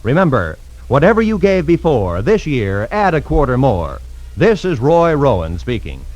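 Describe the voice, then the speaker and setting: A man's voice: medium pitch, wildly swooping pitch, normal speed. A man with an American accent reading scripted 1950s radio announcements in a polished, emphatic, formal way. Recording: old radio broadcast, music behind.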